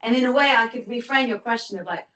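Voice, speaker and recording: A woman's voice: on the high side, quick pace, fairly bright tone, highs cut off. A woman giving a guest lecture and answering audience questions, thoughtful, often restarting and reframing as she goes. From United Kingdom. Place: lecture hall. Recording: echoey lecture hall, mic from far off.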